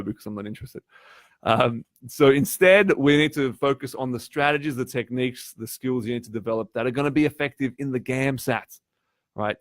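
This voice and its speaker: A man whose first language is Polish gives a chatty coaching talk live to viewers, often asking them questions. His voice sits at an ordinary middle pitch. It was recorded on a close, dry mic with almost no room sound. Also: dry recording. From Poland. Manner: chatty, asks the audience questions. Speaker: test prep tutor.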